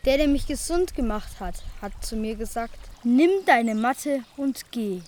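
A helpless invalid in a Bible audio drama played by a woman, speaking in a high voice. The manner is earnest and pleading, with a plaintive edge. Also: a little slow, darkish tone, close to an everyday voice.

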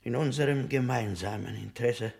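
An actor playing a shrewd, haughty old bookseller in a radio drama, with a schoolmasterly, condescending, muttering manner. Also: male voice, mid-range voice, moderate pace, darkish tone. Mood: calculating. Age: older. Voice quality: husky, gravelly.

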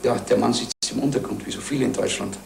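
Very gravelly low man in echoey room. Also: fast. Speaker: dentist. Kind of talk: interview answers. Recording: echoey, slightly noisy film soundtrack.

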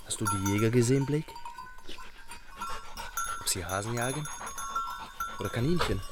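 Actor as low-voiced naive young shepherd. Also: male voice, lightly acted. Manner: simple, musing aloud, coaxing. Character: naive young male shepherd apprentice talking to his dog. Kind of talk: radio crime drama acting. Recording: music and bleating flock behind.

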